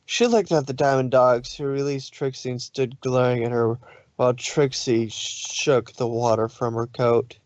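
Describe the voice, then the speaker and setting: A man's voice: mid-range voice, steady tone, measured pace, a little mellow, no room sound. Dry, slightly dull-sounding male story reader. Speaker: fan fiction reader. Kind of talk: expressive story narration.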